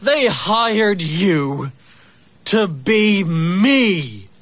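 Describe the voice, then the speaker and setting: A man's voice: high voice, sing-song, very slow, a bit bright, dry recording, heavily acted. An actor playing a bitter, intense man accusing his rival in an audio drama. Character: bitter ousted male radio host Len, accusing. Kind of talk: radio drama acting.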